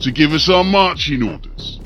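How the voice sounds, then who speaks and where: Man acting a grim, forceful ork warlord. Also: on the high side, animated, moderate pace, faint music behind, pitched above the performer's own voice. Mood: disgruntled. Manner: rough and slangy. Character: muttering, grim ork warlord with a long jaw.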